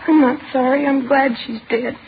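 A woman playing a distraught, bitter teenage girl in an old radio crime drama, giving halting, short answers. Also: high voice, steady tone, close to an everyday voice. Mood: tearful, bitter. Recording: tinny, faintly noisy old radio broadcast.

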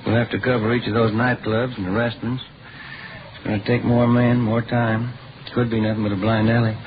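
A muffled, noisy vintage radio drama recording presents an actor as an American police detective. He talks quickly in a plain, practical, courteous way, with dry understatement. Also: mid-range voice, flat delivery, lightly acted. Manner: brisk, plain-spoken, dry. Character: brisk, practical, polite police detective partner. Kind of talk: mid-century radio police drama acting.

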